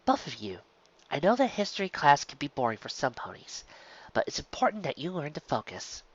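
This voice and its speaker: A woman voicing a patient, weary female schoolteacher pony in a measured, gently firm way. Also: sits low, big pitch swings, breathy edge, highs cut off, exaggerated voice.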